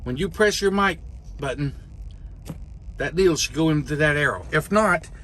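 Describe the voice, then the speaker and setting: A man's voice: fairly high pitch, normal speed, fairly bright tone. A middle-aged American man, a truck driver, giving a casual hands-on tutorial in a plain, folksy way. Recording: clearly noisy vehicle cab.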